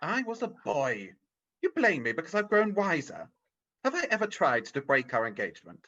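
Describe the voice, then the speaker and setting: An actor playing a defensive, anguished old male miser in a radio-style drama read over a video call, halting and pleading. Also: medium pitch, wildly swooping pitch, sharp tone, heavily acted. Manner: halting, pleading, swings in emphasis. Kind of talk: rehearsed radio-script drama reading. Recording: dull lo-fi video call audio.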